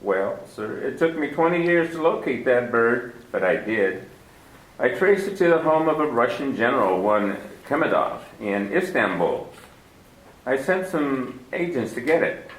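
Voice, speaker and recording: A smooth, scheming villain in an old radio play, purring with unhurried, genteel menace. His pitch swings widely as he coaxes and threatens. The old broadcast recording sounds roomy. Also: big pitch swings. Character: smooth, scheming older male villain, fat man. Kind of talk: 1940s radio acting.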